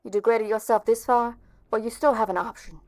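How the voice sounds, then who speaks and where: A woman's voice: on the high side, fairly even intonation, a little fast, darkish tone, no echo, lightly acted. A woman playing a stern, bitter police officer in an amateur game fan dub, with a cutting, sarcastic delivery. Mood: bitter, scolding. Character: stern, bitter policewoman confronting an old friend.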